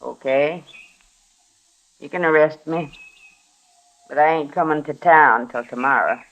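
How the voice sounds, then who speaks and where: A woman's voice: deep voice, measured pace, rough recording. An actress playing a fierce, stubborn middle-aged frontier mother in a radio western, terse and defiant, with a rural American Western accent. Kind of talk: 1950s radio western acting. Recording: vintage radio drama recording.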